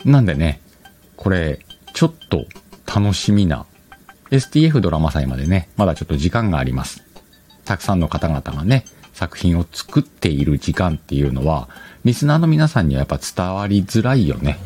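Solo radio-style host: man, very low voice, lively intonation, chatty, faint music behind. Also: a little fast. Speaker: host of an audio talk show.

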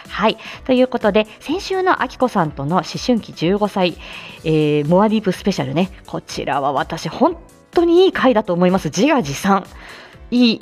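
Lively woman hosting a chatty morning live show. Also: mid-range voice. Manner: polite explanatory phrasing mixed with playful asides. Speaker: live audio show host; speech-language therapist. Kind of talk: casual morning live chat with announcements.

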